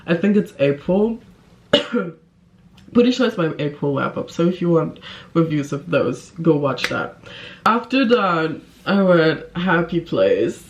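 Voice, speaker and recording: A woman's voice: on the low side, muffled recording, slight background noise. A woman talks casually to camera as a book vlogger. Her delivery is informal and effusive, with a giggly manner that keeps it conversational.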